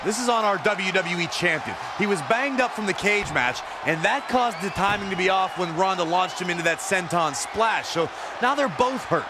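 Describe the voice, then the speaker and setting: A man's voice: high voice, lively intonation, normal speed. A man playing an emphatic, opinionated wrestling commentator in a video game. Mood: concerned, emphatic. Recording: noisy arena crowd behind.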